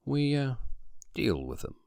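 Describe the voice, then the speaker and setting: A man voicing a calm, smooth-talking conspiracy leader in an audiobook story, in a measured murmur. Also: medium pitch, dry recording, studio quality, pitched above the performer's own voice. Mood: guarded, sly.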